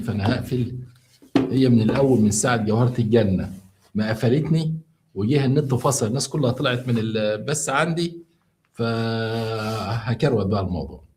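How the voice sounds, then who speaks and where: A tired man with an Egyptian Arabic accent tells a true story to viewers on a live stream, chatty and full of halting restarts as he explains things along the way. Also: medium pitch, a little mellow, slight background noise.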